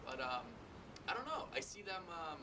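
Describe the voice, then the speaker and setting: A rumbling, vehicle-like noise runs behind a man recorded at some distance. His pitch is medium. He gives casual interview answers. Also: big pitch swings, moderate pace. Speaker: theatre cast member.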